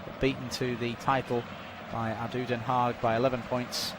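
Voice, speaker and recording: Male football commentator, measured delivery, mid pitch, clear outdoor background noise. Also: little pitch movement. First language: not Dutch. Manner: measured, informative, filling time with stats and updates. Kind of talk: live sports commentary during a stoppage.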